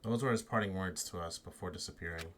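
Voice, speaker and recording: Man playing an earnest, low-voiced schoolboy hero. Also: fast talker, heavy background noise, close to an everyday voice. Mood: puzzled narration. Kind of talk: fan voice-over of a visual novel game. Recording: game music behind the voice.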